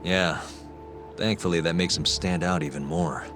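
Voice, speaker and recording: Actor as low-voiced calm detective man, music behind. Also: moderate pace, lightly acted. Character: calm, determined male private detective leading a stakeout. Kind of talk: English video game cutscene dub acting.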